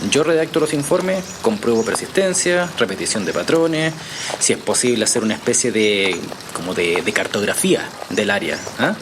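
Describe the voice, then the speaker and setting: A man's voice: on the low side, lively intonation, normal speed, noisy background, natural voice. An actor with a Chilean accent playing a casual, slightly hesitant journalist who explains his research in a naturalistic audio drama. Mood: earnest. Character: easygoing male journalist, hesitant but convinced researcher.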